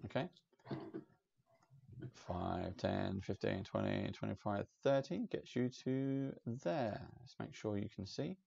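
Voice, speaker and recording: A man narrating as game master in a relaxed, meandering way, in dull lo-fi audio with faint hiss. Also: lowish voice, slow, vocal fry.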